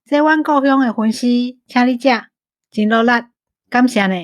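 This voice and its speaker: A woman's voice: on the high side, moderate pace, dry recording, studio quality, natural voice. A woman playing a polite, shrewd and cautious young group leader with a Taiwanese accent in a radio drama. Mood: courteous.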